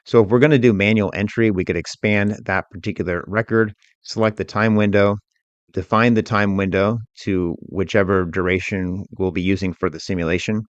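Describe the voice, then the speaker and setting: A man with a low-pitched voice narrates a software lesson step by step. He explains things in a measured way. The recording is dull and lacking in high detail. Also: steady tone. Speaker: instructor. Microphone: voice-over for screen recording. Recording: dull, roomy, echoing sound.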